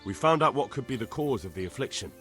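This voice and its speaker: An earnest young male helper, played by an actor in a video game, mid-pitched and calmly reassuring. Soft music plays under his voice. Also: quick pace, close to an everyday voice. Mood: earnest, serious. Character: young man, earnest, reassuring bringer of remedies. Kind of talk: dramatic video game dialogue.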